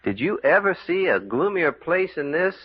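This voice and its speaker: An actor playing a folksy, impatient adventurer with a drawl in a radio drama. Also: male voice, fairly high pitch, sing-song, moderate pace, husky. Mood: folksy, wary. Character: folksy, impatient adventurer, rough-grammared sidekick. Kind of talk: old-time radio adventure acting. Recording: muffled radio drama recording.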